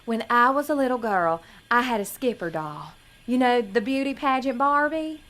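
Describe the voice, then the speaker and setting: A woman's voice: fairly high pitch, lively intonation, normal speed, a bit bright. A woman playing a naive, earnest young pageant contestant in an audio drama, prim and melodramatic in manner.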